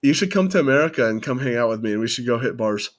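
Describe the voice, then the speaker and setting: A raspy man from the Denver area, sick and hoarse, hosting a casual stream chat with teasing, profane banter. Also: mid-range voice, expressive, racing. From Denver, Colorado area. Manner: teasing, profane, laughing often. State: raspy from illness. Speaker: streamer host.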